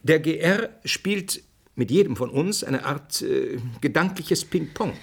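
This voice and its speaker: An actor playing an earnest, didactic scientist being interviewed in a radio drama. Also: male voice, fairly high pitch, animated, measured pace, creaky, heavily acted. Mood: dismissive. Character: earnest male scientist, explaining theory, brusquely dismissive of speculation.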